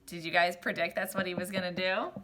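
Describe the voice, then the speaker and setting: A woman reading a picture book aloud expressively, like a teacher, pausing to explain and question young listeners. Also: lowish voice, steady tone, sharp tone. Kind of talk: expressive children's read-aloud.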